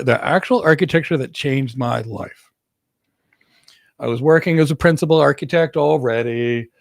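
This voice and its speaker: A man's voice: a little high, vocal fry, dry recording, studio quality. A man from Greece with an American accent, hosting and answering viewers' career questions in an emphatic way, often repeating words for stress. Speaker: host, cloud architect.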